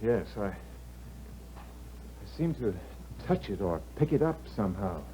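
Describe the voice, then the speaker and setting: An actor playing an exhausted, haunted husband and novelist who speaks in a weary, brooding way with sudden outbursts, in a 1950s radio drama. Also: male voice, on the low side, very animated, a little slow, mellow. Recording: dull 1950s radio broadcast with faint hum.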